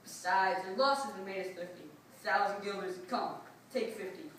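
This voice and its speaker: A woman's voice: mid-range voice, normal speed, fairly bright tone, natural voice. A woman playing a pompous, stingy male town mayor, blustering through verse in readers theatre. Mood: dismissive, wheedling. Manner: blustering, emphatic. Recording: distant, very echoey room, faint noise.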